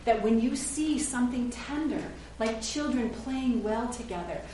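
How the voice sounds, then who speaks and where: A middle-aged woman pastor delivers an earnest, conversational sermon with a slightly high voice, now and then stopping to fix a word. She is picked up from some distance away in a church with heavy, ringing echo. A faint hiss sits under her voice. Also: a little slow, dark tone. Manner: earnest, conversational preaching with self-corrections. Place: church sanctuary. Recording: roomy echo, heard from a distance.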